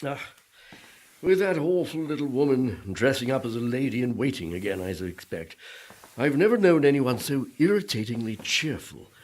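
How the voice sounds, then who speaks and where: An actor playing the gloomy, repentant ghost of a Puritan pastor in a radio play, wistful and self-pitying in old-fashioned formal speech. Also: male voice, mid-range voice, very animated, normal speed, a little mellow. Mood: wistful, glum.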